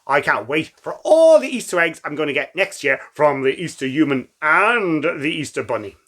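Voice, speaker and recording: A man reading stories to children in an expressive, encouraging, playful way. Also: a little high, normal speed. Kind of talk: animated read-aloud storytelling for children.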